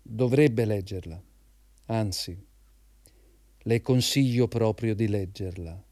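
An actor playing a defiant, needling unemployed communist jobseeker in a radio drama, insistent and goading. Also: male voice, on the low side, slow pace, warm tone, dry recording, studio quality. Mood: quietly insistent. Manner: insistent, goading, showing off knowledge.

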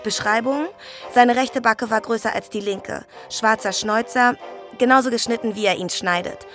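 A woman's voice: on the high side, normal speed, fairly bright tone, soft background music. A woman playing a suspicious witness in a radio drama, listing details in a clipped, matter-of-fact way.

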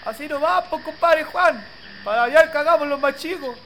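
A man's voice: extremely high pitch, moderate pace, sharp tone, soft background music, heavily acted, low-quality recording. A man playing a worried, neighborly peasant farmer with a rural Chilean accent and colloquial country talk in a radio play. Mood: dismayed. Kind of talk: amateur radio play dialogue. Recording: light outdoor noise with birds and insects.

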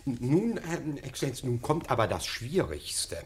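A man's voice: mid-range voice, very animated, a little slow. An actor playing an obsequious, flustered official in a radio comedy, stammering and fussy in his deference. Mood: nervous, deferential. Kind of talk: classic German radio comedy acting.

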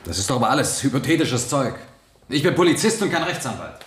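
An actor playing a terse, stubborn police lieutenant testifying in a radio drama, with clipped, guarded answers. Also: male voice, medium pitch, sing-song, fast talker, close to an everyday voice. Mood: defensive. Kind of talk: 1970 German radio drama acting. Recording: roomy vintage radio studio sound.

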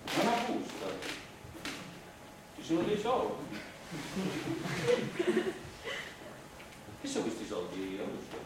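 An actor playing a suspicious, excitable man who nags with insistent, repeated questions in comic stage dialogue. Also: mid-range voice, moderate pace. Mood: accusing. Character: suspicious, nagging, excitable male stage companion named Carmine. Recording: roomy, distant, noisy stage recording.

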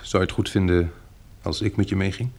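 An actor playing a level-headed, pragmatic man, a wary and protective disaster survivor in a Dutch radio drama, with a gravelly, husky voice. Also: low voice, steady tone, a little fast, a little mellow. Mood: practical, reassuring.

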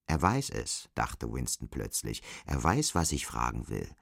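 A man's voice: a bass voice, sing-song, unhurried, vocal fry, fairly bright tone, slightly rough, no room sound, clean studio sound. A man narrating an audio drama in scripted literary prose.

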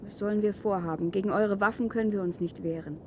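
An actress playing a calm, earnest woman living free in a wasteland, in a 1970s German radio play. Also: mid-range voice, fast talker, slight background noise, natural voice. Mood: quietly defiant.

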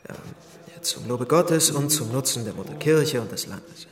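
A man's voice: medium pitch, expressive, lightly acted. A male actor playing a commanding abbot who dictates in a formal, pondering way in a radio drama. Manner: pondering, pausing with hums, formal.

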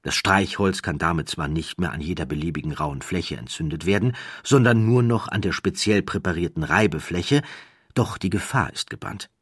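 Very deep, crisp male narrator. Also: normal speed, fairly bright tone, dry recording, studio quality. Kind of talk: scripted documentary audiobook narration.

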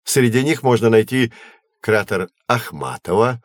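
A man playing a patient, learned astronomer who guides children in an educational audio drama, measured and didactic. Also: mid-range voice, expressive, no echo, studio quality, lightly acted. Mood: explaining. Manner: measured, didactic, clearly enunciated.

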